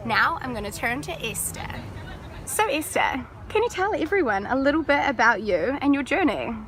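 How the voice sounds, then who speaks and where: Bright-voiced woman host. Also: sits high, sing-song, normal speed, a faint crowd, a little hiss or hum. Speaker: interview host. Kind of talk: hosting an interview.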